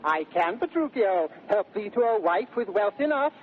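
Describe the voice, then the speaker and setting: An actor playing a genial, excitable gentleman suitor with courtly, theatrical delivery in 1930s radio Shakespeare, on a tinny, noisy recording. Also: sits high, moderate pace. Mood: confiding. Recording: very tinny vintage radio recording, faint noise.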